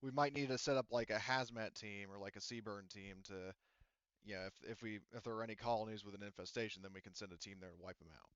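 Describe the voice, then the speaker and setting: A man's voice: on the low side, fast talker, fairly bright tone, smooth, no echo, lo-fi sound. A man running a tabletop roleplaying game, rambling along with lots of fillers and restarts. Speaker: game master.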